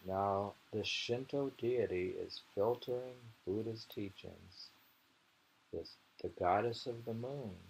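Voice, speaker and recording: A man reading poetry slowly and commenting on it casually, in an unhurried, musing and informal manner. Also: fairly low pitch, gravelly, a little mellow, a little hiss or hum, low-quality recording. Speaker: poetry reader.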